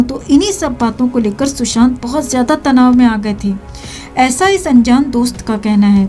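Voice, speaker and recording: A woman's voice: on the high side, a little mellow. A woman with an Indian accent reading scripted news to viewers in an emphatic, sensational manner. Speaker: video news narrator.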